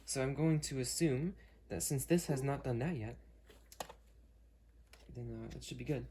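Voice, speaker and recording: A man talks casually as the host of an unboxing demo, thinking aloud as he goes. His pitch is average for a man, and there is some noise behind him. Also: a little slow, warm tone. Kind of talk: casual unscripted product demo with friends on a call.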